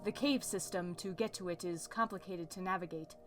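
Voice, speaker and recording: A woman's voice: lowish voice, fairly quick, lightly acted. A woman playing a worried, regal pony princess of the night in a cartoon comic dub, speaking in formal, archaic royal diction. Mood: solemn, formal. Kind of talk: fan comic dub acting. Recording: music behind the voice.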